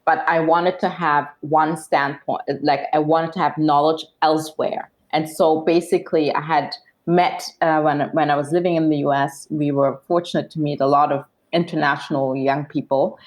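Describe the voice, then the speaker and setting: A woman from Germany, a guest on a podcast, telling her story in a reflective, steady way with frequent fillers. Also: low voice, normal speed. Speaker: podcast guest, social scientist. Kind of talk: casual interview chat.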